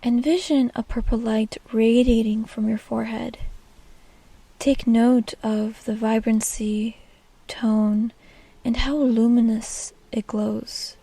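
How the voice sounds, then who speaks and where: Woman, guided meditation, mellow higher-pitched voice, breathy soft delivery, soothing. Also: flat delivery, slow, dry recording.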